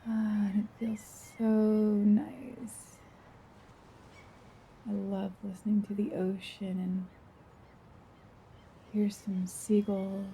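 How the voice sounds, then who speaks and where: Female guided-visualization narrator, very dark soft tone, breathy with some creak, gentle, dreamy, unhurried delivery. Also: mid-range voice, level tone, slight background noise, rough recording. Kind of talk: slow first-person guided visualization.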